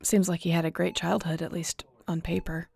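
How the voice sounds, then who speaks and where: A woman's voice: on the low side, level tone, a little fast, creaky, a little mellow, husky. A woman acting in an audio roleplay as a weary, guilt-ridden shifter investigator, speaking in a somber, conversational, confiding way. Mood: matter-of-fact briefing.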